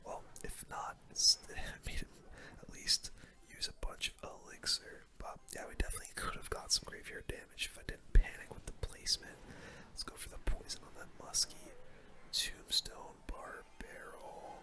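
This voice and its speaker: A gaming host doing ASMR commentary in a soft, breathy whisper, with casual gamer slang, close to the microphone. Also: normal speed.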